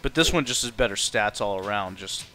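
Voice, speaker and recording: A man's voice: fairly low pitch, expressive, a little fast, sharp tone. A man chatting casually as he streams and plays a video game, with game music behind him. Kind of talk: casual chat while playing a video game.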